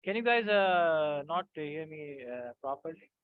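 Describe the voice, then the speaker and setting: A male teacher leads an online physics class in a slightly raised voice. He talks slowly and haltingly, filling gaps with "uh" and checking in with "right?" The sound is thin and phone-like, with no room echo. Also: a little high, expressive, smooth. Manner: halting, many fillers, keeps checking with "right?". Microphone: video call. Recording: tinny dry call audio.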